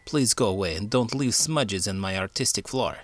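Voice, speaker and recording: A man's voice: on the low side, moderate pace, close to an everyday voice. A man voicing a pompous, dismissive art gallery owner in a children's story, with a strange put-on foreign accent. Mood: haughty dismissal. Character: snooty male art gallery owner with oily mustache.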